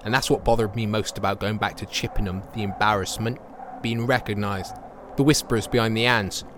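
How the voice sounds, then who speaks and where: Male actor as young drifter living rough, colloquial and embarrassed, audio play monologue, faint noise behind. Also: lowish voice, steady tone, fairly quick, lightly acted. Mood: rueful. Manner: colloquial, plain-spoken. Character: embarrassed young homeless man, a drifter with a limp. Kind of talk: audio drama, confessional first-person monologue.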